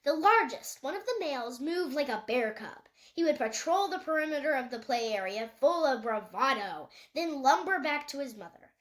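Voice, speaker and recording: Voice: sits high, lively intonation, moderate pace, few pauses, fairly bright tone. A young reader, a child or young teen, narrating a story read aloud from a book. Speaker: audiobook reader.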